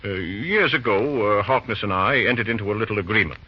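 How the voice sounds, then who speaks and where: A man's voice: fairly low pitch, brisk, husky. An actor playing a pompous, stubborn, wealthy father and railroad president in an old-time radio drama, with a hemming, stammering, self-important delivery. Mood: smug, resolute. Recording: dull, noisy old radio recording.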